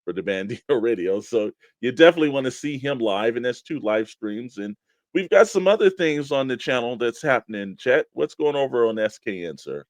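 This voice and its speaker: A man's voice: medium pitch, lively intonation, brisk, no echo. A man co-hosting a toy collectors' show, bantering casually and plugging programs with emphatic hype. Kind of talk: casual radio-style show banter and plugs.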